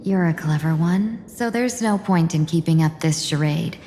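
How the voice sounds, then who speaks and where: A woman voicing a cool, shrewd mercenary negotiator in a video game, speaking in a measured, probing way. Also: low-pitched, heavy background noise, lightly acted. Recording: long reverb, music behind. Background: game background music.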